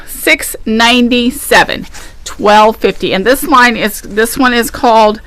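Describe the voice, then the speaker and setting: A woman's voice: a little high, unhurried, a bit bright, low-quality recording. A woman co-hosting a casual fabric show, chatting about prints, colors and prices and laughing easily. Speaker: fabric shop co-host. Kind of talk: casual live product showcase.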